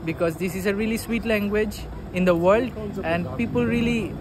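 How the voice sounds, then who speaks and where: A man's voice: sits high, normal speed. A young man from Bangladesh, a Bengali speaker with a Bangladeshi accent, answering a street interview earnestly and fluently as a student. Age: young adult. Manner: fluent, earnest, filler-heavy. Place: outdoors by a busy street. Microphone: phone held by interviewer. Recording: phone audio with loud traffic noise.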